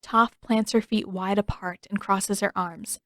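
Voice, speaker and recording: A woman reading story narration in a measured, expressive storytelling manner. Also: mid-range voice, no room sound, studio quality. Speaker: fan fiction narrator.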